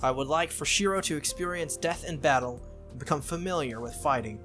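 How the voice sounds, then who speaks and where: A stern young swordswoman of a visual novel, read by a man, speaks formally and earnestly. The voice is bright and measured, with music behind it. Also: a little high, natural voice.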